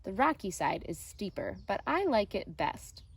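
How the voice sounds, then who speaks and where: A woman teacher reading a picture book aloud to children in a deliberate, expressive way. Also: medium pitch, slightly rough. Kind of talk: storybook read-aloud narration for children. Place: outdoors.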